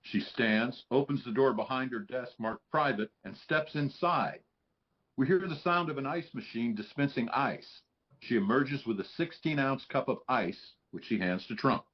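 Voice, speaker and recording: A man's voice: mid-range voice, moderate pace, lo-fi sound. A man reading scripted stage directions as narrator at a live table read.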